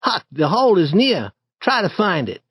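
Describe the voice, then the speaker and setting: A man's voice: high-pitched, normal speed, husky, no echo, clean studio sound, voice pushed up, full character voice. A man reading a story, voicing a bold, eager young boy who leads the way. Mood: excited. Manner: confident, excited, urging.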